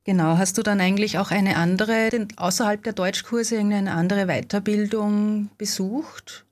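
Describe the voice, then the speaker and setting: A woman interviewing a guest, asking follow-up questions and gently rephrasing what he says. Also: medium pitch, fairly even intonation, moderate pace.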